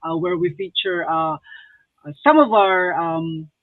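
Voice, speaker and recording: High-voiced male host on a tinny line. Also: takes it slowly, no echo. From Philippines. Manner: hesitant, many fillers. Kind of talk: live online show hosting.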